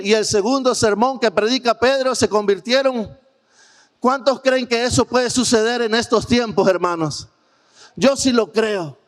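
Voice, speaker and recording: A man preaching a sermon as a missionary, telling testimony earnestly and putting rhetorical questions to the congregation. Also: very high voice. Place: large church hall, preaching to a congregation. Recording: church hall with long reverb.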